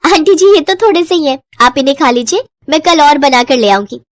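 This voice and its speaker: Woman voicing a high, sweetly eager young neighbour. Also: expressive, brisk, no room sound. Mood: eager, polite.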